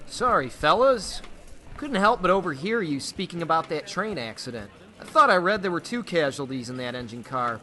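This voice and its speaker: A man's voice: fairly high pitch, very animated, moderate pace, pushed and bright, faint music behind. A man playing a polite, inquisitive young speakeasy proprietor in an old-time radio drama. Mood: curious, polite.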